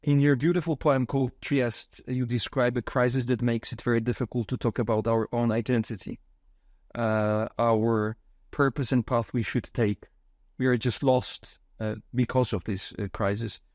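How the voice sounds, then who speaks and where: A man interviewing a poet, asking measured questions with frequent fillers between phrases. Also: mid-range voice, level tone, darkish tone, no room sound, studio quality.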